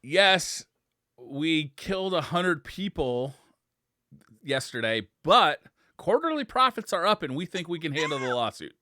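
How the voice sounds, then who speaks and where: Guy on a podcast, really lively up and down voice, jokey and sweary, super dry close sound. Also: a little high, a little slow, no echo. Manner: animated, profane, joking. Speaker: podcast co-host. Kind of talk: casual chat.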